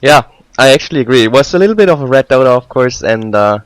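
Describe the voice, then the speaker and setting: A man's voice: medium pitch, expressive, no echo, low-quality recording. Hesitant young man co-casting a game. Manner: hesitant, halting, many fillers. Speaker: esports co-caster. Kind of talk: casual game commentary.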